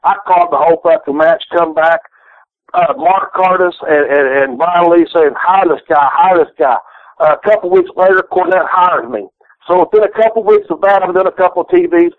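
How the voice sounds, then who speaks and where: A man's voice: high-pitched, moderate pace, no echo. A middle-aged man with a Southern accent, telling old stories in a rambling, profane, folksy way, often restarting his sentences. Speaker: retired pro wrestler guest. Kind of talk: candid storytelling interview. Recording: tinny phone line, dry.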